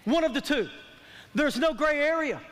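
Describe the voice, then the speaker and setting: A man's voice: very high voice, lively intonation, normal speed, a bit bright. A man, preaching a sermon as a pastor in an emphatic, colloquial, repetitive way that calls for response. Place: large church auditorium stage, indoors. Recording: long hall reverb from stage sound system.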